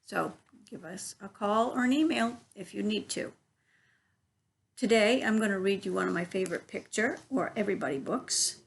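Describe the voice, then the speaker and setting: A woman's voice: mid-range voice, moderate pace, creaky, husky. A woman reading a picture book aloud to children as a library story reader, instructive and given to asides to her listeners.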